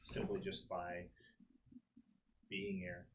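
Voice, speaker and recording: A man playing a sci-fi tabletop game, talking in character in a deliberate, analytical way. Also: low voice, very animated, creaky. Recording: noisy room recording.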